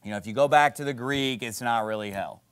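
A man preaching a sermon as pastor in a church, emphatic, with loud peaks, low drops and sarcastic asides. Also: quick pace, smooth.

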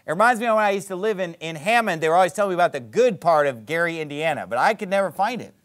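High-voiced man preaching emphatically. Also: expressive, rapid-fire. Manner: emphatic preaching, swinging between loud peaks and low drops; sarcastic asides. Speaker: pastor. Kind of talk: sermon.

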